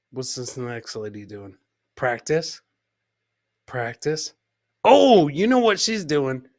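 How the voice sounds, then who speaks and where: A man's voice: on the high side, no room sound, studio quality. A man hosting a live comedy show, riffing on video clips in a boisterous, jokey way and repeating catchphrases. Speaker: podcast host.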